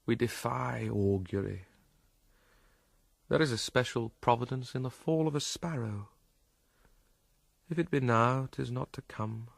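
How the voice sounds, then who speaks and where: Brief: troubled young prince, mid-pitched, theatrical, dryly mocking and reflective, Shakespearean radio drama, close dry sound. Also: male voice, vocal fry, slightly rough, no echo, full character voice. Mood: resigned, reflective. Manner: theatrical, quick-witted, shifting between dry mockery and reflection. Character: troubled, witty, melancholy Danish prince, young man.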